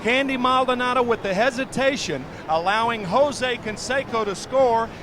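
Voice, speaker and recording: A man's voice: very high voice, moderate pace. A man calling baseball play-by-play on a noisy archive television broadcast. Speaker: baseball TV commentator. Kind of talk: live sports play-by-play commentary.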